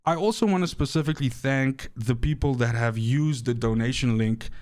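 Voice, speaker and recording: Smooth-voiced man with a South African accent. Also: mid-range voice, normal speed, a little mellow. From Namibia. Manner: rambling, repeats words when restarting; grateful, earnest. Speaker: gaming channel host. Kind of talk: casual talk to camera.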